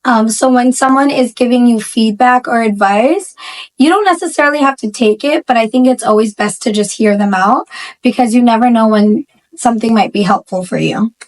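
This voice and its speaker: A woman's voice: a little high, normal speed, low-quality recording. Upbeat New woman teacher. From Bangladesh, raised in the Bronx. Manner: chatty and upbeat, many ums and likes. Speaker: history teacher. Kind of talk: casual school interview.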